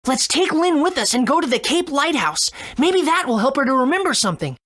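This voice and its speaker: A woman's voice: high voice, sing-song, brisk, fairly bright tone. A woman voicing a kind, earnest young boy hero in a video game, with a reassuring manner.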